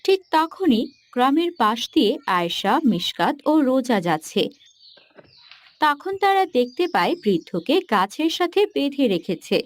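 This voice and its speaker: A woman's voice: high voice, big pitch swings, a little slow. A woman reading scripted narration for a cartoon moral story.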